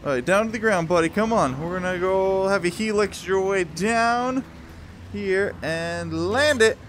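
A young man gives casual, excited commentary as he plays a video game, playful and full of exclamations. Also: high voice, a little slow, slight background noise. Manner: playful, exclamatory, talks along while moving in a VR game. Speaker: gaming video host.

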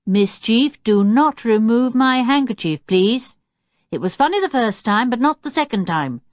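A woman voicing a sensible eldest boy who explains things calmly. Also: fairly high pitch, a little slow, no echo, studio quality, pitched above the performer's own voice. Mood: chiding. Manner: reasonable, explaining.

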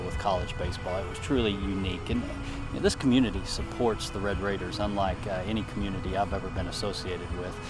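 A middle-aged man, an athletics director, answering interview questions outdoors at a ballpark in an earnest, praising way. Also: fairly low pitch. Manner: earnest, praising, measured with fillers. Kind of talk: on-camera interview. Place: outdoors at a baseball field. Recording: music bed underneath. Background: music.

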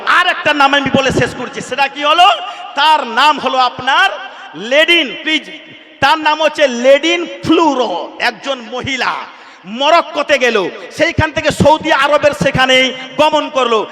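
A male Islamic preacher delivers a fiery sermon in a very high, piercing voice. He declaims in repeated phrases and urges his listeners to answer. His voice rings with long reverb over a loudspeaker. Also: unhurried. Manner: declamatory, repetitive, calling on the crowd to respond. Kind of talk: fiery public religious sermon at a waz mahfil. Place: large religious gathering, over a loudspeaker.

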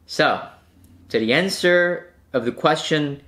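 A young Korean man with a Korean accent, answering viewer questions casually to camera in a small room, pausing to think with fillers. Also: a little high. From South Korea. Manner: relaxed, thinking aloud with fillers. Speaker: climbing vlogger.

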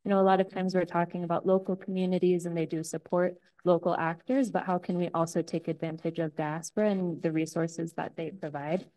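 A woman puts a question to a conference panel in a casual, lightly joking manner. Her voice is fairly low and mellow. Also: level tone, brisk, few pauses, rough recording. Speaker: conference participant asking a question. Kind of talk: spontaneous audience question at a conference.

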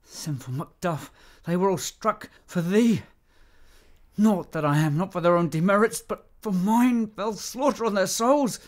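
Guy acting a proud tragic nobleman, kinda higher male voice, big declamatory shakespeare radio play delivery. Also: measured pace. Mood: stricken grief. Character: Macduff, proud Scottish nobleman stricken by grief and vengeance.

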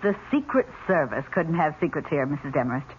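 An actress playing a formal, disapproving nun who runs an orphan home in a 1970s radio drama. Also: female voice, deep voice, sing-song, fairly quick, husky, full character voice. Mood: stern. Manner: formal, firm. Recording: muffled, noisy vintage radio recording.

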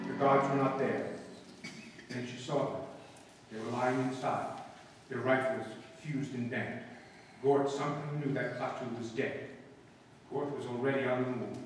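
An actor playing a solemn, dramatic narrator of an old-time science-fiction radio show, measured and portentous. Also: male voice, medium pitch, slight background noise, lightly acted. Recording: echoey hall from far off, music behind. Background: music.

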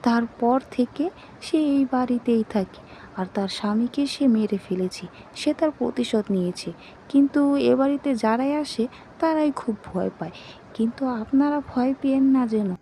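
An actress plays a deferential housemaid in a horror cartoon. Her voice is high and soft-edged, over faint background noise, and she speaks deliberately. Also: natural voice, poor audio. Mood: confiding, ominous. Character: obliging longtime housemaid with an eerie secret.

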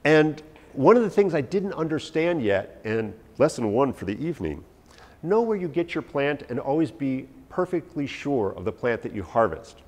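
Man, forties, herbalist giving a talk, anecdotal, animated, long hall reverb. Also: medium pitch, normal speed. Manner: animated, anecdotal, self-deprecating, gesturing while talking. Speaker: herbalist lecturer. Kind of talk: informal talk to a live audience. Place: large hall with a stage, indoors. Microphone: no visible mic, far camera.

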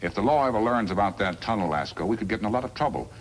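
A male actor plays a calculating villain of a 1950s radio western, a crooked lawyer who gives orders. His lowish voice swings expressively with smug insinuation. A faint layer of vintage noise sits over the recording. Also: animated, very fast, lightly acted, poor audio.

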